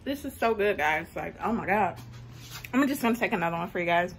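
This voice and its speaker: A woman's voice: on the low side, animated, a little fast, a little hiss or hum, low-quality recording. Chatty young woman vlogging. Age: young adult. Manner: informal, chatty. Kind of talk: casual cooking vlog narration.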